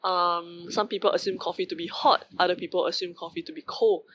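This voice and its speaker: Bright-voiced Singaporean man musing aloud. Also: sits high, brisk, a little hiss or hum, poor audio. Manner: rambling, many fillers and asides. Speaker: vlogger. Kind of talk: casual reflective monologue.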